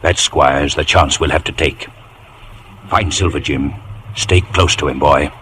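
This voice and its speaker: A man's voice: extremely low pitch, steady tone, measured pace, bright, forceful tone, heavily acted. A man playing a resolute, authoritative ship's captain in an old radio drama. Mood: grave resolve. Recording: noisy old radio broadcast recording.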